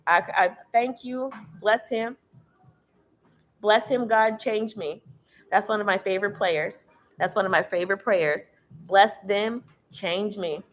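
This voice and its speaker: Loud, emphatic woman tarot reader. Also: medium pitch, measured pace. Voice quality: loud, carrying voice. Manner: emphatic and preachy, swears freely. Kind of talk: casual spiritual tarot reading.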